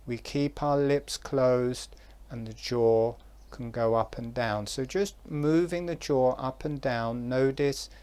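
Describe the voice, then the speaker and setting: A man giving guided relaxation instructions in a soft, calm voice, with long pauses between phrases. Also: mid-range voice, smooth.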